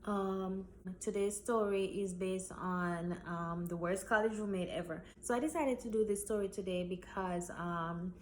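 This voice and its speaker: A young woman from Jamaica chats through a storytime at a middle pitch, rambling and restarting with frequent ums. A faint hiss sits under her voice. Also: steady tone, a little slow, hardly any pauses, darkish tone. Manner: rambling with many ums and repeats. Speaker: vlogger. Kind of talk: casual storytime chat.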